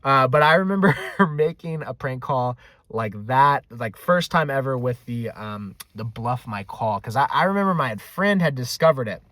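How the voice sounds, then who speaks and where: A man's voice: medium pitch, animated, normal speed, fairly bright tone, dry recording. A man telling anecdotes in a casual, rambling, self-deprecating way, swearing freely as he hosts a video. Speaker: video host. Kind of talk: casual rambling storytelling to camera.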